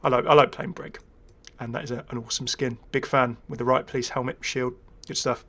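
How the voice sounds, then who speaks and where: A man presents a gaming video in a casual commentary style. His voice sits at an average male pitch. He talks in a chatty, meandering way, as a host thinking out loud. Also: flat delivery, fairly quick. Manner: rambling, chatty.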